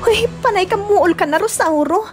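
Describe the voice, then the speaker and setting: A woman's voice: very high-pitched, moderate pace, over loud music. A woman playing a long-suffering, pleading young wife and mother in an audio drama, plaintive and nagging. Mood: tearful reproach. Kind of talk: Tagalog-Ilocano radio-style drama acting.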